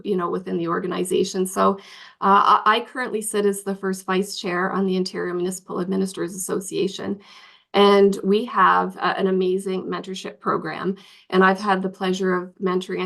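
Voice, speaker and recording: A woman speaking on a panel while fighting a cold, her voice raspy and gravelly. She talks earnestly about her work with many restarts, over a video call. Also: mid-range voice, fairly bright tone. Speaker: municipal administrator panelist. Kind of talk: panel discussion.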